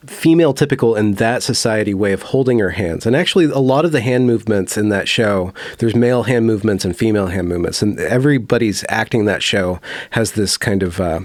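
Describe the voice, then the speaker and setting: A man's voice: lowish voice, a little mellow, no echo. A man hosting an interview, asking long, reflective questions with frequent fillers. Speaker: host.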